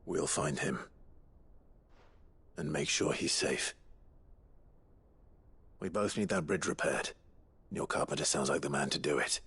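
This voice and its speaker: Very deep, gruff fantasy warrior. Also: male voice, unhurried, long pauses, a bit bright, exaggerated voice. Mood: grave, resolute. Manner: terse, grave. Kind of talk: video game voice acting.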